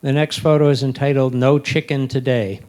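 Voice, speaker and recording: A man's voice: medium pitch, steady tone, normal speed, a little mellow. A man reads scripted photo captions aloud. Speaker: club member reading photo captions. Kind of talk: scripted narration read aloud.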